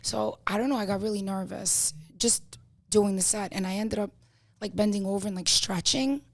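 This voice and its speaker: Gravelly New York woman telling stories. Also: medium pitch, fairly even intonation, moderate pace, no echo, clean studio sound. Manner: chatty storytelling, lots of fillers. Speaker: podcast guest, comedian. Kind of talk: casual podcast chat.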